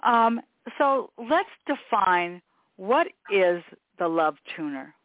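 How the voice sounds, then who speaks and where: A woman's voice: mid-range voice, sing-song, slow pace, dry recording. An upbeat woman hosts an interview podcast, chatty and eager with her guest. Her voice comes through thin and phone-like, with no room sound at all. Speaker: podcast host. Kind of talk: interview with scripted intro.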